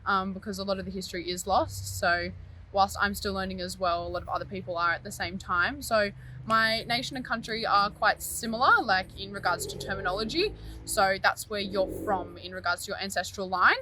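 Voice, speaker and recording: A young Australian woman answering interview questions in a measured, patient way. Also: medium pitch, bright, forceful tone, slight background noise, low-quality recording. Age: young adult.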